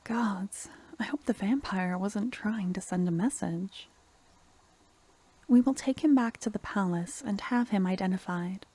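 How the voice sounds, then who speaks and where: A woman playing a sweet, caring court necromancer in a softly spoken, half-whispered ASMR fantasy roleplay, talking intimately and affectionately to the listener. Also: medium pitch, unhurried. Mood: sorrowful, tender. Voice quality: soft, breathy, half-whispered. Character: sweet, caring young female court necromancer, doting and anxious.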